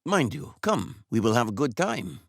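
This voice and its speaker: A man's voice: medium pitch, big pitch swings, normal speed, vocal fry, no echo, clean studio sound, pitched above the performer's own voice. A man voicing a smug older male customer who talks down to the barber in a bluff, patronizing way. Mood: cheerful. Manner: bluff, patronizing, matter-of-fact.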